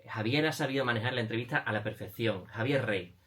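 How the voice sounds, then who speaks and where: A man's voice: mid-range voice, fast, fairly bright tone. A man with an accent from Spain hosting a casual entertainment interview in a jokey, giggly manner. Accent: Spanish (Spain). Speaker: radio show interviewer.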